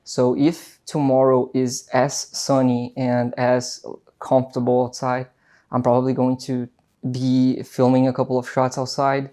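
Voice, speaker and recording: A man's voice: mid-range voice, monotone, a little slow, mellow. A young Brazilian man with an accent, English not his first language, rambling casually to camera in an unscripted vlog, full of fillers and restarts. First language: Portuguese. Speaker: vlogger.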